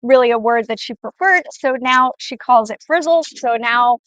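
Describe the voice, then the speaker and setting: A woman's voice: fairly high pitch, moderate pace, a bit bright, no room sound, muffled recording. An American woman co-hosting a live show in casual chat, playful, teasing and emphatic. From born in Poland, raised in the United States.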